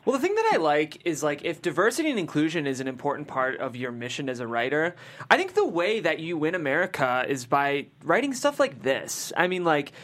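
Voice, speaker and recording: A man's voice: a little high, big pitch swings, brisk, fairly bright tone. A man in a casual panel discussion, offering encouraging, tentative feedback on a script. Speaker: panelist giving script notes.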